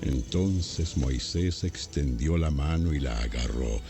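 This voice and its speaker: A man's voice: a bass voice, expressive, takes it slowly, over music. A man narrating a scripted, dramatized Bible audio.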